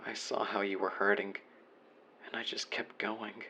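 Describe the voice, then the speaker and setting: A man acting out a remorseful young man in a voicemail roleplay, speaking low with a gravelly fry. His delivery is earnest and confessional, staying flat and subdued, over faint background noise. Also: unhurried, rough recording. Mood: regretful, subdued. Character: remorseful young man apologizing to his former best friend. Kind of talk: scripted audio roleplay, voicemail monologue.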